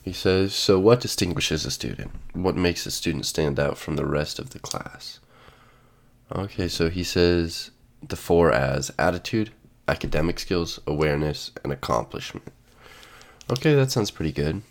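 A man's voice: deep voice, lively intonation, normal speed, gravelly. A male podcast host with a stuffy cold, musing aloud and reading definitions with many fillers. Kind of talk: solo podcast monologue. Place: sitting at home with coffee.

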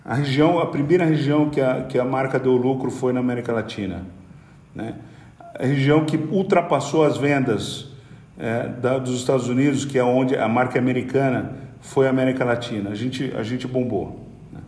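A middle-aged man with a Brazilian Portuguese accent talks through his business experience in a relaxed, meandering way. He keeps restarting and repeating little words as he explains. His average-pitched voice carries a noticeable room echo. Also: normal speed. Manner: hesitant, repeats words while explaining at length. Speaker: podcast guest, company executive. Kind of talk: conversational business storytelling. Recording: roomy echoey room.

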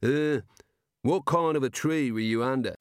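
A man's voice: mid-range voice, moderate pace, clean tone, no echo, clean studio sound, voice pushed up, lightly acted. A man voicing a nosy, obliging amateur ballad writer in a comic audiobook, colloquial. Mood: eager, placating. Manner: colloquial, eager.

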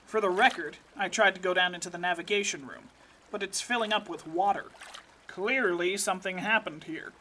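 A woman's voice: lowish voice, a little fast, pushed and bright, slight background noise, voice pushed down. A woman voicing a blunt, foul-mouthed male secret agent who gives crude, matter-of-fact reports. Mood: urgent. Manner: blunt, profane field-report delivery.

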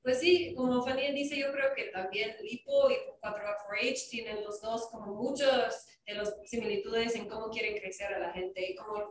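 A woman's voice: mid-range voice, recorded from a distance. American woman speaking learned Spanish. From Wisconsin, United States. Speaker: guest. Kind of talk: casual podcast chat. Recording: echoey room, voice a bit off-mic, faint noise.

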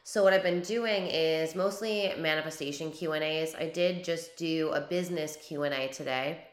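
A young adult woman hosting her podcast, slightly hoarse from losing her voice, chatting in an upbeat, rambling way. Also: sits low, a bit bright, reverberant. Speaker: podcast host and spiritual business coach. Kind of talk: casual solo podcast chat.

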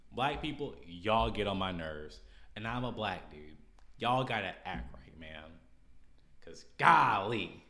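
A man's voice: lowish voice, animated, slow, gravelly, pushed and bright. An American man hosting a podcast, giving casual opinion commentary in a blunt, exasperated, slangy way. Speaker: podcast host.

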